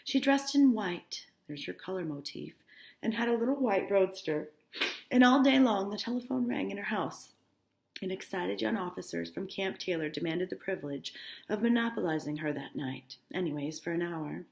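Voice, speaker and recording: A woman high school teacher reading a novel aloud, breaking off for informal, instructive asides to explain things to students. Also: lively intonation, moderate pace, creaky, darkish tone, reverberant. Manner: informal, instructive asides with check-ins like "okay?".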